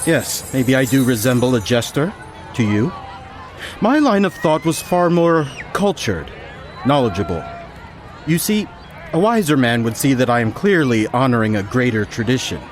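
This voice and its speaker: An actor playing a vain, snobbish carnival reveler and wine connoisseur in a radio play, pompous and dismissive. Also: male voice, medium pitch, sing-song, normal speed, a little hiss or hum, close to an everyday voice. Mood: haughty, disdainful.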